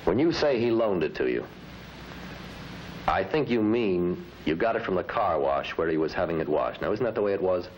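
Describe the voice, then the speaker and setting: Low-voiced, methodical investigator. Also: male voice, expressive, moderate pace. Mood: firm, reasoning. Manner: methodical, probing. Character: firm, patient male law officer questioning a suspect. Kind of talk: mid-century training film acting. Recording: noisy old film soundtrack.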